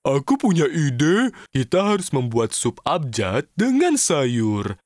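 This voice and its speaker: A man's voice: mid-range voice, big pitch swings, slow pace, heavy background noise. A man voicing a cheerful, impulsive, childlike little cartoon man in a children's show. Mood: caring. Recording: studio voice over cartoon music.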